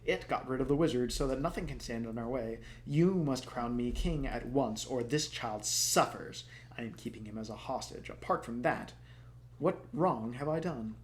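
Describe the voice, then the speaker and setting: A man's voice: mid-range voice, normal speed, a little hiss or hum, natural voice. A man acts out an arrogant young prince from a storybook, cold and scheming. He drawls his threats with dramatic menace, pushing his volume up. The voice sits in an ordinary middle range. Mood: menacing.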